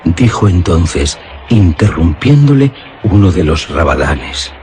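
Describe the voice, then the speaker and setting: A man's voice: sits low, sing-song, slow pace, warm tone, slight background noise. A man reading scripted literary narration as the narrator of a radio drama.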